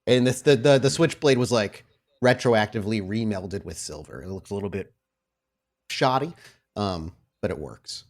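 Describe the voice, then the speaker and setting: A man's voice: fairly low pitch, animated, moderate pace. A man narrating and chatting with players as game master of a tabletop roleplay podcast. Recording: music under the voice.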